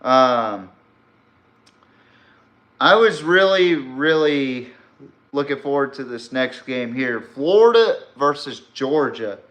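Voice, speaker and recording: Male American sports podcast host, mid-pitched with a forceful bright edge; casual, opinionated commentary. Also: expressive, slow pace, long pauses, dull audio. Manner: opinionated, informal.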